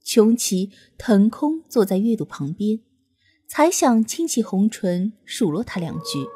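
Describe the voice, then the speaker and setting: A woman's voice: mid-range voice, sing-song, a little slow, warm tone, a little hiss or hum. A woman narrating a novel as an audiobook reader, in a scripted storytelling style. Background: faint music.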